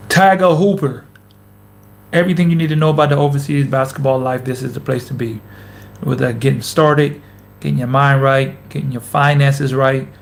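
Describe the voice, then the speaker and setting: A man's voice: a little high, gravelly, a little hiss or hum. A man in his thirties hosting a casual motivational vlog into a camera mic, talking emphatically with lots of repetition and slang. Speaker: host, former pro basketball player.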